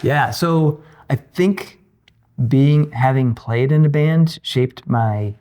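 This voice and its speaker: A man of fifty-nine chatting casually as a podcast guest, telling personal stories in an informal, halting way. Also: mid-range voice, warm tone. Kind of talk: casual interview chat, personal anecdotes.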